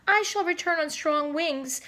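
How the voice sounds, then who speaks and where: A woman's voice: very high voice, unhurried, pushed and bright, pitched above the performer's own voice, heavily acted. A woman reading a storybook, voicing a melodramatic old male swan who speaks in a grand, theatrical way. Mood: rapturous.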